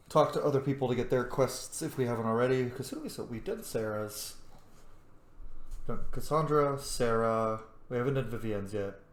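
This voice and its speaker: Man muttering through gameplay in echoey room. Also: mid-range voice. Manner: mutters to himself while playing. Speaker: game streamer, let's player. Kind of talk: casual gameplay commentary.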